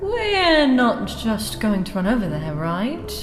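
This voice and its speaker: A woman's voice: on the high side, huge pitch swings, takes it slowly, full character voice. A woman playing a wary, earnest young adventurer in a fantasy audio drama. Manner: earnest, urgent. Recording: echoey room with music behind.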